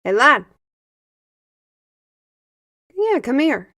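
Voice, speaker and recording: A woman playing a commanding, steady leader of adventurers in a fantasy audio drama. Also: high voice, normal speed, exaggerated voice. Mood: solemn, encouraging.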